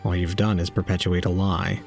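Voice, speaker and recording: A man playing a stoic, aloof young murder suspect in an audio drama, terse and deadpan. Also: low-pitched, normal speed, vocal fry, faint music behind. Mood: cold, calm.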